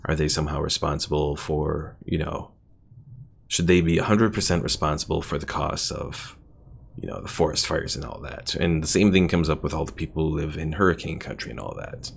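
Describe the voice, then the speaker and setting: Low, gravelly man streaming a game. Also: brisk, slight background noise. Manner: thinks aloud, reads out game stats and chat comments with many fillers. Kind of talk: casual live-stream gameplay commentary.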